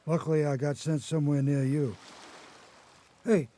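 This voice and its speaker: An actor playing a haunted old grandfather, an escaped convict telling his story haltingly in a radio drama. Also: a little high, fairly even intonation, mellow, close to an everyday voice. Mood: urgent, wary. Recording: noticeable background noise.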